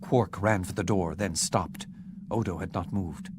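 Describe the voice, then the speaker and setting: Deep-voiced male audiobook narrator. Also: unhurried, background noise. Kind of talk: scripted narration. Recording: narration with background music.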